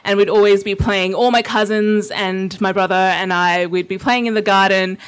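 A woman's voice: mid-range voice, fairly even intonation, moderate pace, smooth, rough recording. A young woman from Sri Lanka telling a personal story to an audience in a reflective, conversational way, with frequent fillers and small laughs. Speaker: invited speaker.

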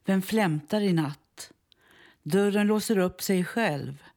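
A woman reciting a poem in a measured, solemn manner. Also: medium pitch, darkish tone. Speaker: poetry reader. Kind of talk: poetry recitation.

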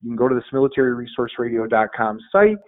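A man's voice: medium pitch, lively intonation, quick pace. A man guesting on a podcast, talking in a casual, upbeat way, often repeating words as he plugs his show. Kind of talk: casual promotional interview talk. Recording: phone-like band-limited audio.

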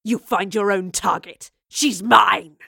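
A woman's voice: medium pitch, slow, a bit bright, no echo, clean studio sound. A woman reading a story, voicing a menacing male villain who growls and snarls threats. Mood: enraged shouting. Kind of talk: audiobook reading.

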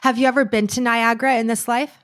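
A woman's voice: on the high side, fairly even intonation, fast. Rambling woman podcast host. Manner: rambling, full of "like" and "you know". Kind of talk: casual chat.

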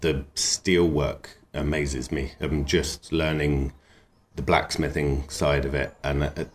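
A man with a very deep voice talks in a relaxed, rambling way, clearly enthusiastic about his work. A faint hiss of noise sits under the recording. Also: slow pace. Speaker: knifemaker and cook. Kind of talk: relaxed interview talk.

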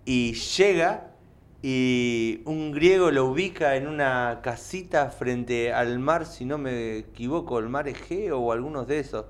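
Argentine male radio columnist, mid pitch, keen and descriptive. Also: measured pace. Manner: enthusiastic, descriptive. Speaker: film and TV columnist. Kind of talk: casual radio chat. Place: radio studio.

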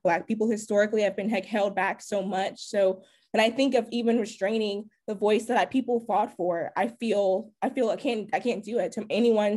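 A woman's voice: mid-range voice, a little mellow. Passionate young woman, emphatic. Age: young adult. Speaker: podcast co-host. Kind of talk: podcast chat.

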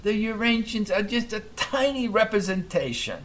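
A man voicing a loud, older male scientist who speaks with a thick foreign accent and a commanding, pompous manner. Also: high voice, big pitch swings, moderate pace, slight background noise, voice pushed up. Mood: insistent.

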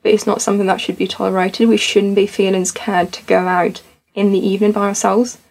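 A woman's voice: medium pitch, little pitch movement, fairly quick, creaky, darkish tone, poor audio. A young woman from Tunisia, a student society founder, talking earnestly and reflectively in a podcast conversation.

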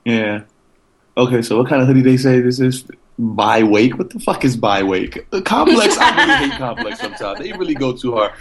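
A man's voice: mid-range voice, big pitch swings, fairly quick. A man hosting a podcast, chatting loosely and joking, with frequent swearing. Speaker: podcast host. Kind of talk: casual chat.

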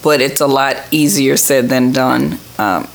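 Gravelly middle-aged woman answering questions. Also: very deep voice, unhurried. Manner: explanatory, plain-spoken. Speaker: government official, interview guest. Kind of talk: conversational interview answers.

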